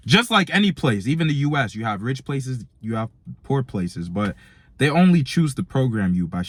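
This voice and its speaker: Young American male, late teens, lower-pitched voice, casual motivational vlogger with slangy, buddy-to-buddy address. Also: no echo. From Nigerian-born, grew up in America from age four. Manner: slangy, direct address to young men, "bro" and "man". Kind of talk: casual motivational vlog monologue.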